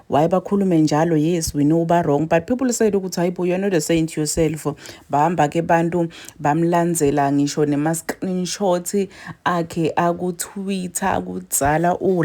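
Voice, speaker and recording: A woman's voice: fairly low pitch, dark tone. A woman with a South African accent hosting casual commentary in a chatty way, switching languages mid-sentence. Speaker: online commentary host.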